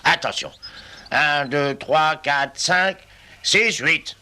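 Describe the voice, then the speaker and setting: A man's voice: on the high side, very slow, pushed and bright, slight background noise, full character voice. A man playing a bossy, irritable and self-important bumbling herdsman in an exaggerated cartoon style, scolding as he talks. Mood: blustering, exasperated. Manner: blustering, scolding, pompous. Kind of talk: exaggerated French cartoon dub acting.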